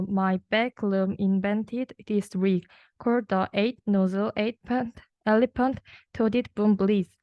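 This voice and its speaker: Slow, halting young woman reading aloud. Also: medium pitch, darkish tone, dry recording. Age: young adult. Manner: careful, halting reading with stumbles over words. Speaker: student reader. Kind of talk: reading a rhyming children's storybook aloud. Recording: slightly dull, lo-fi sound.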